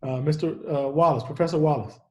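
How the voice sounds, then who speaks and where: A brisk male moderator, speaking a little high for a man, keeps things short as he hands off to each panelist. His voice sounds roomy and echoey. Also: fairly even intonation. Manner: brisk, brief. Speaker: webinar moderator.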